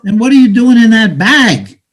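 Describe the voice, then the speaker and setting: A man in a play reading, playing a cynical, bitter, streetwise Brooklyn-born man who talks in halting slang. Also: very high voice, sing-song, heavily acted. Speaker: stage actor.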